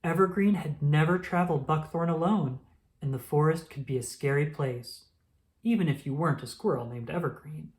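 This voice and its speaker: A woman reading a children's story aloud as scripted narration, in an expressive storytelling style. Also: low voice, moderate pace.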